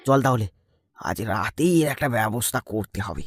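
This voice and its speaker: A man voicing a gruff, threatening villager plotting a night watch in cartoon dialogue. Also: lowish voice, expressive, moderate pace.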